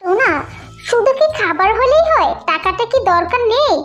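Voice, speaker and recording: A woman voicing a fretful, scolding mother bird in a children's cartoon, with a high, shrill, nagging delivery. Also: expressive, moderate pace, a bit bright, soft background music. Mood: urging. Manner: nagging, pleading.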